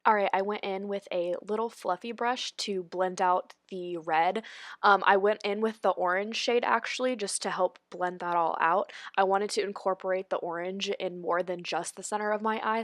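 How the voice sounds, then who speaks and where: A woman's voice: mid-range voice, fairly even intonation, normal speed, fairly bright tone, no room sound, clean studio sound. A young woman with a stuffy, congested voice from a cold, talking casually through a makeup tutorial voice-over, full of "like" and "honestly". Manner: chatty, lots of "like" and "honestly". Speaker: beauty vlogger. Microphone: voice-over recorded separately.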